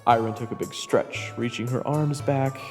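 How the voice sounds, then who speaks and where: A man's voice: mid-range voice, steady tone, moderate pace, big-room sound, natural voice. A man playing a weary adventurer who narrates his own journey in a scripted first-person fantasy story. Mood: weary, reflective. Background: background music.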